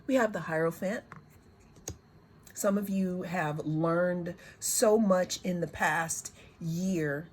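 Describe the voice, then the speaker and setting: A woman giving a reflective spiritual card reading, her voice hoarse, talking in unhurried, circling phrases that often repeat words. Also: on the low side, darkish tone. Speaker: tarot and oracle card reader.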